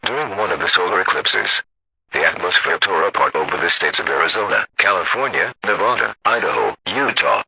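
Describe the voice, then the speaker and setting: A man's voice: low-pitched, gravelly, bright, forceful tone, a little hiss or hum, heavily acted, low-quality recording. A male voice as an automated emergency alert announcer, formal and stilted, reading a scripted broadcast warning.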